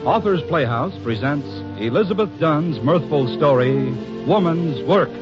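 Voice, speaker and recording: A man's voice: fairly high pitch, animated, unhurried. A man announcing a 1940s radio drama with scripted narration. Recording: dull vintage radio broadcast. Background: loud string music.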